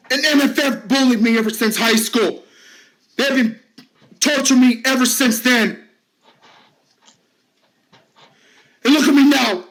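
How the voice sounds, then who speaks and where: A man playing a bullied man in his fifties, shouting high and cracking into sobs, in an amateur drama. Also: rough recording. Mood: sobbing. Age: 50s. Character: bullied fifty-year-old man, desperate and tearful.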